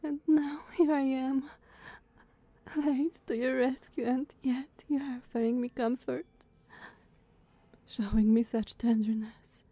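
A woman playing a devoted, anxious female knight in an ASMR roleplay, speaking formally and hesitantly with breathy tenderness. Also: high voice, fairly even intonation, slow pace, mellow, dry recording, heavily acted. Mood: tearful guilt. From Slavic country. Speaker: audio roleplay performer.

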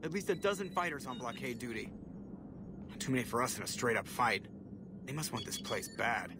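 An actor playing a wisecracking, eager spaceship pilot in a video game, wry and cocky. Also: male voice, medium pitch, brisk, noisy background, lightly acted. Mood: wry, excited.

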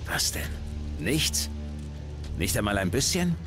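A sci-fi game commander with a very low voice. He is gruff and laconic, with a dry, steady way of talking that suits a supportive hero. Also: slow pace, over music. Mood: businesslike. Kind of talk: video game dialogue acting.

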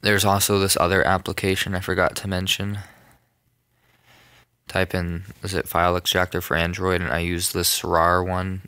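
A young man narrating a casual phone tutorial, rambling, with many ums and self-corrections, in a soft voice. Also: sits low, completely flat delivery, normal speed, smooth, no echo, clean studio sound. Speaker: tutorial maker. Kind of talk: casual screen-recorded tutorial.

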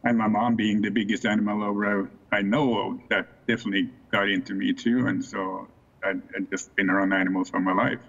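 A man's voice: on the low side, little pitch movement, brisk, creaky, fairly bright tone. A man in his sixties from Norway with a Norwegian accent, a guest expert talking unhurriedly with fillers and restarts over a video call from a small home office. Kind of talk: casual interview. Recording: muffled video call audio.

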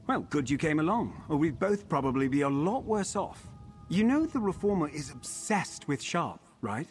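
Male elf broker, fantasy game: anxious, ingratiating, lively pitch swings, light music behind. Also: moderate pace. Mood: wary. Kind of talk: fantasy video game dialogue.

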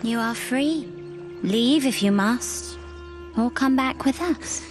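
Young elf lady in a fantasy game, kinda high voice, slow n earnest, music under it. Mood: solemn, gracious. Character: gracious, solemn young elf woman. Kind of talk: video game character acting.

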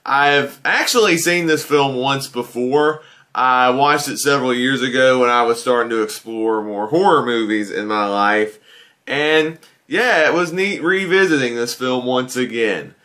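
A man's voice: mid-range voice, animated, a little slow, bright, forceful tone. A man in his thirties giving a casual, earnest spoken film review from a desk webcam. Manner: conversational, earnest, uses filler phrases like "you know". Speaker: guest movie reviewer. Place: indoors in front of a green-screen backdrop.

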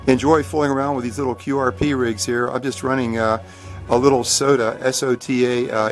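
An American man chatting casually and courteously as an amateur radio operator. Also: mid-range voice. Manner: friendly, courteous. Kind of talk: casual ham radio contact.

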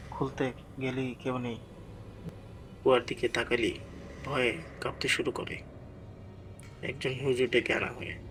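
A man's voice: mid-range voice, very noisy. A man narrating a scripted horror story in a dramatic, suspenseful way as the storyteller.

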